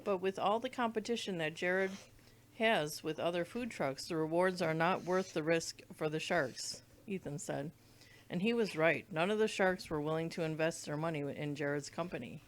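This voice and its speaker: Woman, lowish voice, storybook read-aloud, occasional word stumbles. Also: normal speed, a little hiss or hum. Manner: stumbles over words and rereads them. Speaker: read-along reader. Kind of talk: reading a children's book aloud.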